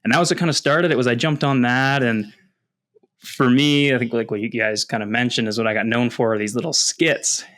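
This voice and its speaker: A man's voice: medium pitch, fairly even intonation, fairly quick, a bit bright. A Canadian man from Ontario talking casually and with animation about himself as a guest, in a self-deprecating way. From London, Ontario, Canada. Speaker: podcast guest, wildlife photographer and content creator. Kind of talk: casual personal storytelling.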